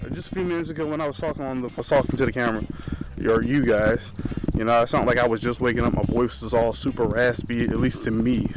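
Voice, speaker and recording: A man's voice: mid-range voice, steady tone, quick pace, hardly any pauses. A young man talking casually and informally to camera as a fitness vlogger, rambling as he addresses his viewers. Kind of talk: casual talk to camera, vlog. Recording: noisy amateur vlog audio.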